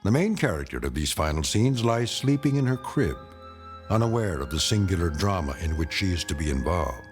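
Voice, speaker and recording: A grave male narrator introduces eerie radio tales in a low voice. His delivery is measured and portentous, swinging expressively in pitch, with music clearly underneath. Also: very animated. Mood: grave, ominous. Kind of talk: dramatic scripted radio drama narration.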